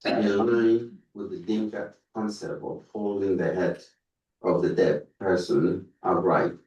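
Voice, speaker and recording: A man reading a prepared tribute aloud at a conference, haltingly, stumbling over words and repeating them. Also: sits low, fairly even intonation, darkish tone, highs cut off. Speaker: speaker reading out a written tribute. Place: indoor conference room. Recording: roomy echo, distant microphone.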